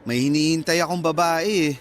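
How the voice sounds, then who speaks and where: A modest young mechanic, played by a man, in a Filipino radio drama. His voice is high for a man, polite and casual with a shy edge, over faint music. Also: fairly even intonation, fairly quick, lightly acted. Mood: modest, amused.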